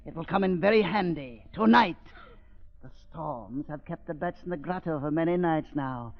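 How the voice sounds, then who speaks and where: A man's voice: on the high side, normal speed, natural voice. A man playing a gloating, murderous doctor villain with theatrical, mock-tender menace in a 1930s radio drama. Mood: silky menace. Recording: muffled vintage 1930s radio recording.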